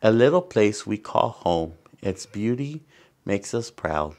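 A man with a Salvadoran accent hosts a children's storytime. His voice sits a little low and has a strong creaky, gravelly edge. He reads at an unhurried pace and stops to explain things to young listeners. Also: expressive, a little mellow. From San Salvador, El Salvador. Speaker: storytime host. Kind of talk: bilingual read-aloud of a children's picture book. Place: indoor room in front of wooden backdrop.

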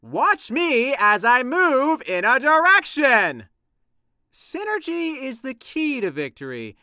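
A man doing bold, bright game-fighter catchphrases. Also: very high voice, huge pitch swings, slow pace, no room sound, studio quality, full character voice. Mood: bold, excited. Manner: exaggerated, declamatory. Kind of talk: video game voice lines.

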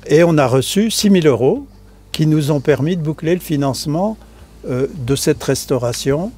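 Homme âgé, voix douce et voilée, hésitant, nombreux « euh », interview en plein air, micro-cravate. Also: male voice, on the high side, animated, moderate pace, dark tone, husky. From France. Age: older. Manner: hesitant, many fillers and restarts. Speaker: association member. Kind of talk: interview answers, casual explanation. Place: outdoors on a stone chapel tower balcony.

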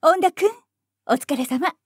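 A woman's voice: high-pitched, takes it slowly. An actress playing a carefree senior saleswoman who shirks work with a breezy, theatrical manner.

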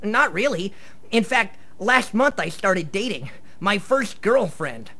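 A man's voice: high-pitched, big pitch swings, slow, bright, forceful tone, heavily acted. An actor playing a nerdy teenage boy in a radio play, earnest and defensive, hesitant and wordy. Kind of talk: live radio play acting.

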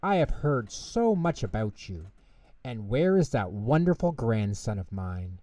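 A man voicing an affectionate, dignified elderly grandmother mouse in a children's storybook, measured and gently commanding. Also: fairly low pitch, very animated, no room sound, far from the mic. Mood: polite, expectant.

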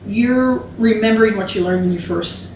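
A chatty, animated woman in her fifties explains things with her hands moving, her voice caught by a far-off camera mic. The living room adds a noticeable echo, with a faint hum in the background. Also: mid-range voice, moderate pace. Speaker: beekeeping instructor. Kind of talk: casual conversation. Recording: echoey room, distant mic.

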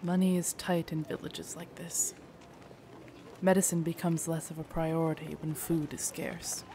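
A woman playing a loyal, gentle royal knight in an audio roleplay, formal, deferential and soothing in her measured speech. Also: sits low, level tone, background noise. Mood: solemn, encouraging. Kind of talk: immersive audio roleplay acting.

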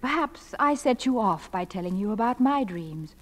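A woman acting a gentle, wistful widowed mother in middle age, in 1940s-style radio drama. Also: medium pitch, expressive, normal speed, natural voice. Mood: tender, reflective.

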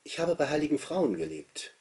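A gentle young wanderer from an audiobook novel, acted out by a man, childlike and full of wonder. The voice is lifted and lively, breathy and rough at the edges, with soft, guileless phrasing. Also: a little high, brisk, pitched above the performer's own voice, exaggerated voice. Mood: guileless, earnest. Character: gentle, childlike, wide-eyed wandering boy with a guitar.

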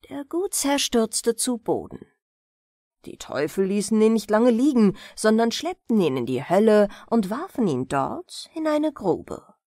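A woman narrating a bedtime fairy-tale audiobook in an expressive, measured storytelling manner. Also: mid-range voice, no echo, studio quality.

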